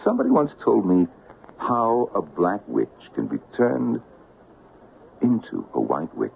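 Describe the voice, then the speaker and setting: A gravelly, low-voiced doctor, coaxing and lilting; tinny old radio. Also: male voice, wildly swooping pitch, measured pace, a little hiss or hum, exaggerated voice. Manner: gentle, coaxing, lilting storyteller delivery. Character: soothing, patronizing middle-aged male doctor. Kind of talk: 1970s radio drama acting.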